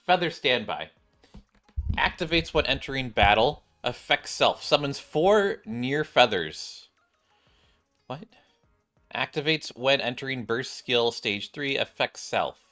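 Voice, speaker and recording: A young man doing casual game commentary, reading on-screen text aloud and thinking out loud. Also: mid-range voice. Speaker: gaming streamer.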